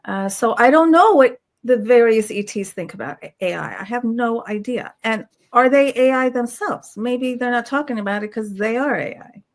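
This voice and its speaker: A woman hosting a podcast interview in a casual, conversational style. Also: a little high.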